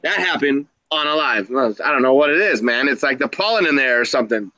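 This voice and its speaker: Bright-voiced man hosting a casual live stream. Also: mid-range voice, expressive, brisk, dry recording. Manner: slangy, upbeat hype. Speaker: host of a reptile keepers' live stream. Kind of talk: casual live-stream chat with shout-outs.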